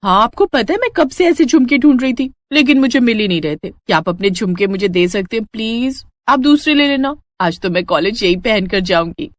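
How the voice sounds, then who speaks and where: Woman acting greedy college girl, high swooping pitch, pushy pleading, storybook drama, close dry sound. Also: lively intonation, brisk, few pauses, no room sound, close to an everyday voice. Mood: wheedling. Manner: pushy, cajoling, whiny pleading. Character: greedy, demanding young sister-in-law, college student, wheedling and entitled.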